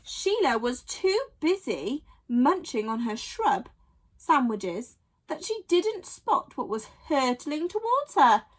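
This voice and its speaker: High-voiced, animated woman storyteller. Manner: dramatic, with emphatic pauses and sound words. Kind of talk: expressive children's bedtime story reading.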